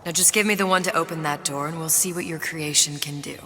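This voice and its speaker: An actress playing a sharp-witted young woman with a dry, cutting manner. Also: sits low, steady tone, quick pace, noisy background, natural voice. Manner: dry, cutting; hushed whisper when musing.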